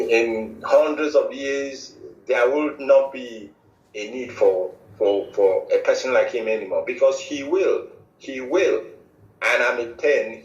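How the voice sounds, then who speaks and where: A middle-aged man with a Nigerian accent giving a formal statement as a spokesman on radio, emphatic and repetitive, halting with many fillers and restarts. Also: medium pitch. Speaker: movement spokesman interviewed on radio. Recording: echoey, distant, dull lo-fi audio.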